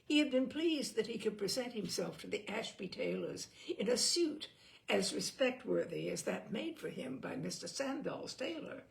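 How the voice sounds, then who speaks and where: A woman's voice: a little high, creaky, poor audio. A woman reading a novel aloud as an audiobook narrator, telling the story expressively with the occasional small stumble. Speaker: audiobook reader.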